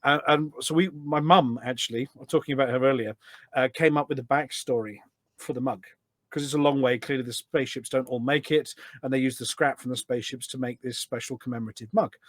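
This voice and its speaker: Man, middle-aged, medium pitch, animated storytelling, casual podcast chat, dry sound. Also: fast talker, no echo. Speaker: podcast guest.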